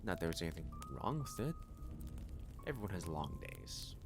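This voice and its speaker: A man as a low-voiced, playfully doting catboy waiter. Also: very animated, moderate pace, music in the background. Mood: tender, pleased.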